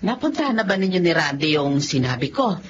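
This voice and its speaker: A motherly filipina nanay, lowish voice, radio drama, dull noisy sound. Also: very animated, a little fast. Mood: caring, pleased. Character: caring middle-aged mother, motherly and approving. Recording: muffled lo-fi audio with clear background noise.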